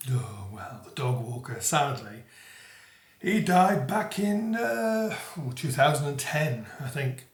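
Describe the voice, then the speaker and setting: A man's voice: on the high side, expressive, slow. Man acting a wistful elderly ex-policeman, roomy echo. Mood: helpful, reflective. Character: kindly elderly retired police sergeant turned true-crime author. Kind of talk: audio drama acting.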